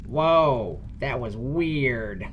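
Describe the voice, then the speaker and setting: A man's voice: medium pitch, animated, extremely slow, dull audio, a little hiss or hum. A man voicing a female gnome spellcaster in a fantasy story, with a stern, instructive and wry manner. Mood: explaining, focused. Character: bossy, dry-humored female gnome spellcaster.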